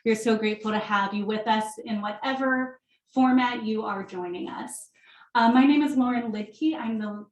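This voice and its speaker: A woman program manager introducing a guest lecturer at a community center event, thanking the audience warmly. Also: on the high side, normal speed, reverberant, muffled recording. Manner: warm, welcoming, thankful.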